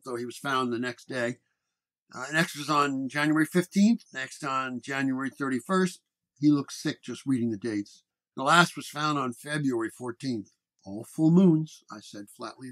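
A man's voice: mid-range voice, a bit bright. A man reading a scripted first-person detective story as its narrator. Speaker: narrator and reader.

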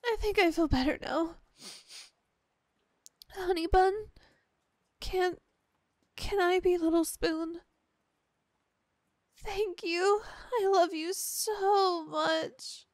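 A woman's voice: very high voice, fairly even intonation, drawn-out words, pauses often, no room sound, clean studio sound, exaggerated voice. A woman playing a clingy, insecure, devoted young yandere girlfriend in an ASMR roleplay, hesitant and gushing. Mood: soft, cuddly. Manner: gushing, hesitant, breaking off and restarting.

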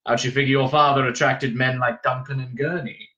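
A man voicing a shrewd, gruff old adviser with a probing, wry and confiding manner. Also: mid-range voice, flat delivery, fairly quick, pushed and bright, dull audio, close to an everyday voice.

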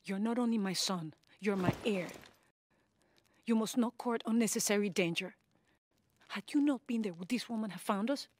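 A woman's voice: medium pitch, normal speed, a little mellow, slight background noise, close to an everyday voice. Woman playing a stern, regal leader. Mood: stern, concerned. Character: stern, regal mother and leader of hidden people. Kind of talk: video game cutscene acting.

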